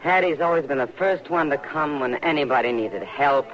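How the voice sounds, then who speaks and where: A warm-hearted frontier woman played by an actress in an old radio western. She is earnest, practical and gently insistent, speaking haltingly on a dull, lo-fi recording. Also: sits low, lightly acted. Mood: saddened, disbelieving.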